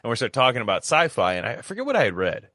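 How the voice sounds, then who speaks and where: A man's voice: mid-range voice, huge pitch swings, quick pace, pushed and bright, dry recording, studio quality. A man chatting casually on a podcast, telling stories in a rambling, slangy way and often repeating himself. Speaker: podcast guest telling his story. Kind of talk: casual conversational storytelling.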